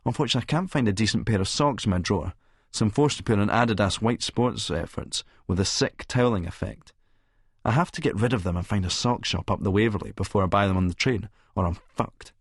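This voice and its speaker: A man's voice: on the low side, breathy edge, no room sound, studio quality. A man with a Scottish accent reading first-person audiobook narration in a sardonic, sneering manner.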